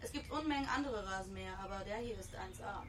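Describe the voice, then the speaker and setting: A woman's voice: mid-range voice, fast talker, background noise, lightly acted. A woman playing a blunt, cheeky teenage runaway girl with terse, matter-of-fact replies in a radio drama. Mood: blunt, challenging.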